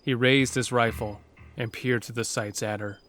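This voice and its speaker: A man's voice: mid-range voice, fairly even intonation, moderate pace, a bit bright. A man reading scripted narration for a horror audio drama, as a storyteller. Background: music under the narration.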